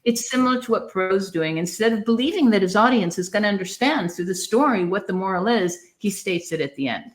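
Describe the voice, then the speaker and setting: A middle-aged woman with a mid-pitched voice talks casually about literature as a podcast co-host. She is emphatic and opinionated, and readily drifts into tangents. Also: expressive, brisk, few pauses.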